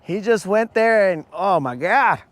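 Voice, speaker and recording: A hoarse man chatting loosely to his viewers from a boat on a lake, his voice sitting a bit high for a man. His talk is slangy and easygoing, and he keeps calling people bro. Faint outdoor noise runs under him. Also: animated, unhurried, a bit bright. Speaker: fishing channel host. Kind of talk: live-stream chat while fishing.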